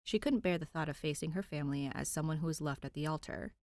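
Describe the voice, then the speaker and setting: A woman's voice: low-pitched, fast talker, a little mellow, dry recording, studio quality. A woman podfic reader narrating fan fiction expressively, with an informal, conversational touch.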